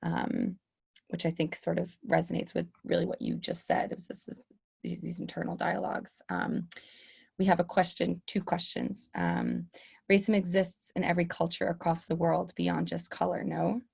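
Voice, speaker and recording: A young woman moderating an online discussion over a laptop video call, pausing often with fillers as she reads out questions. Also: fairly low pitch, animated, vocal fry, darkish tone, husky. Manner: hesitant, many fillers. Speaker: webinar moderator. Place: room with sloped ceiling, indoors. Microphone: laptop webcam video call.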